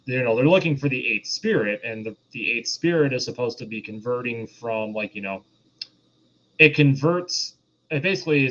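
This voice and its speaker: A man's voice: medium pitch, fairly bright tone. A man co-hosting a podcast in a casual review discussion, explaining his views in a hesitant, rambling way. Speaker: podcast co-host reviewing films. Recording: dull, lo-fi voice audio.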